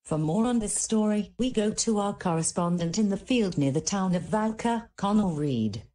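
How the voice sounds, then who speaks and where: A woman's voice: medium pitch, expressive, moderate pace, dark tone, lightly acted. A woman playing a composed radio news anchor presenting a world bulletin. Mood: composed, serious.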